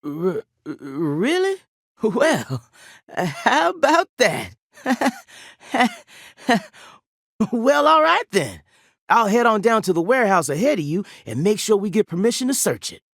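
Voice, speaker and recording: A man's voice: sits high, big pitch swings, slow, a bit bright, dry recording, studio quality, full character voice. A man playing a folksy, jovial small-town sheriff in a video game, with a rambling Southern US drawl. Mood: jovial, obliging.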